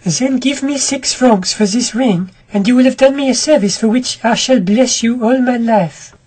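A reader voicing a desperate masked young wife. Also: female voice, fairly high pitch, moderate pace, darkish tone, breathy edge, voice pushed up, poor audio. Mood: pleading. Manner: pleading, breathless urgency.

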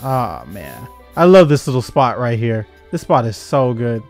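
A man's voice: mid-range voice, sing-song, a little slow, a little mellow. A man doing casual, laid-back gameplay commentary as the host of a gaming video, with mumbled asides. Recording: game music behind. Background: video game music.

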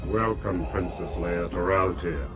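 A male actor playing a menacing, imperious masked dark lord in a radio drama, speaking in measured threats. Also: low-pitched, fairly even intonation. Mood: coldly threatening. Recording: dramatic music behind the voice.

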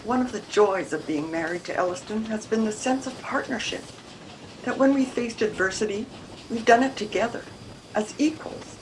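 A woman's voice: a little high, moderate pace, close to an everyday voice. An older woman acting a troubled, independent-minded married lady of the Edwardian era in a radio mystery, with formal, careful diction. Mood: indignant. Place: room at home, video call. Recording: video call with background noise.

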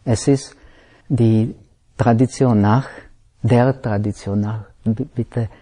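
A male meditation teacher speaks very slowly in a language that is not his own. His tone is mellow and relaxed. He often stops to search for a word and starts a phrase over. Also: mid-range voice. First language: not German. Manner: halting, searching for words, many pauses and self-corrections. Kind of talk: slow explanatory dharma talk.

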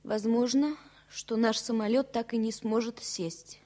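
An actress playing an earnest, worried woman in love in a radio drama, confiding in a hushed, halting way. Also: a little high, steady tone, natural voice. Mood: hesitant. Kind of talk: radio play acting, 1970 Soviet radio drama.

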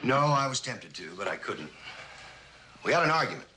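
An actor playing a confident, persuasive writer and lover in a mid-century suspense drama. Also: male voice, mid-range voice, very animated, normal speed, pushed and bright, a little hiss or hum. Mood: defensive, wry.

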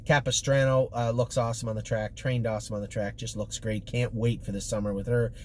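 A man's voice: mid-range voice, little pitch movement, a little fast, darkish tone. A middle-aged man giving a casual, matter-of-fact update to camera in choppy, informal phrases from inside a car. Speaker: stable commentator giving horse updates. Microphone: camera or phone mounted at arm's length. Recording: clear background noise in a car.

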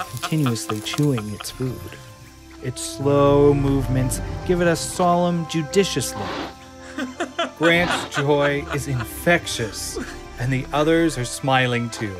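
A man's voice: fairly high pitch, lively intonation, takes it slowly, music in the background. Man narrating stage directions. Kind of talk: scripted narration of an audio drama.

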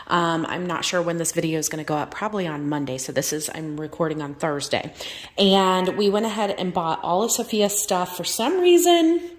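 A woman's voice: fairly low pitch, normal speed. A woman in her thirties, a mom and vlogger, chatting casually at her kitchen counter with lots of fillers. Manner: chatty, rambling, filler-heavy. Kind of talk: casual show-and-tell vlog. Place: home kitchen. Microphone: phone camera held over a countertop.

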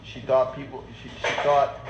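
A man's voice: medium pitch, little pitch movement, normal speed, muffled recording. A young man with a nasal, stuffed-up voice, rambling casually as he vlogs a small apartment tour on his phone. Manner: rambling, self-correcting, offhand jokes. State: nasal from a cold. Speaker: vlogger. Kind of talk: casual handheld vlog. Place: small city apartment indoors. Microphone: phone held in hand. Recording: noisy phone recording.

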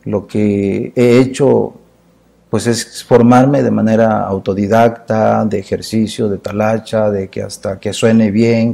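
A Mexican man in his fifties, a poet and chronicler, speaking in a measured, earnest way as an interview guest in a dark studio. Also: lowish voice, creaky. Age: 50s. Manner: measured, earnest, lists ideas in phrases. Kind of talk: reflective interview talk.

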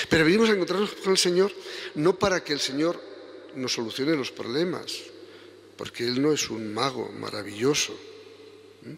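A man's voice: medium pitch, gravelly, a bit bright. An older man in his sixties, a priest with a Spanish accent from Spain, preaching a homily at Mass in a conversational way that rises to fervent emphasis. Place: large church, indoors. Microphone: stand microphone at lectern. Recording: long church reverb.

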